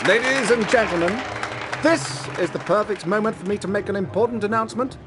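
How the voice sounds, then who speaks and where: A man's voice: high-pitched, a little fast, over a noisy crowd, very noisy, natural voice. A man voicing a vain, flamboyant celebrity wizard in a video game, theatrical and self-important. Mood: showy.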